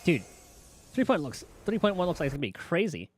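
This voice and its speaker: A man doing casual live reaction commentary as a game streamer, excitable and thinking out loud. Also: fairly high pitch, a little fast, music in the background. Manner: excitable, musing aloud.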